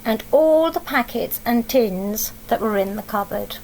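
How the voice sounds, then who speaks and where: A woman's voice: fairly high pitch, lively intonation, measured pace, darkish tone, slight background noise, rough recording. A woman with an English accent reading a storybook aloud as a teacher, expressively, with explaining asides and questions for young children.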